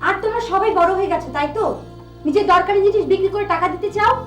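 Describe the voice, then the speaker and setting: A woman's voice: very high-pitched, rapid-fire, fairly bright tone. An actress playing an outspoken, stubborn young woman in a television drama. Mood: insistent. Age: young adult. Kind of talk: TV drama acting. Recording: roomy echo, faint music behind.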